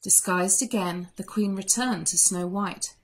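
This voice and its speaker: A woman narrating a children's story aloud in an expressive storytelling style. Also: medium pitch, a little slow. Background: music.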